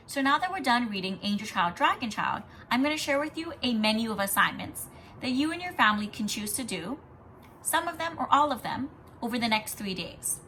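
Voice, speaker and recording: Female kindergarten teacher, bright higher voice, animated read-aloud delivery, noticeable background noise. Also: rough recording. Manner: animated, explanatory, addressing young children. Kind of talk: expressive picture-book read-aloud with teaching asides.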